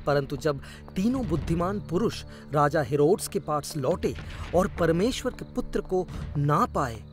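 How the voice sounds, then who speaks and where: A man's voice: a little high, lively intonation, a little slow. A man narrating a scripted cartoon story as its storyteller. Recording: loud background music.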